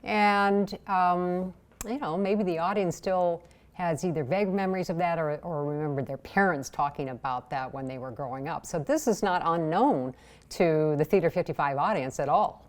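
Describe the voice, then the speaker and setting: Slightly low-pitched woman hosting an interview. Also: moderate pace. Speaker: interview host. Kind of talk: conversational broadcast-style interviewing.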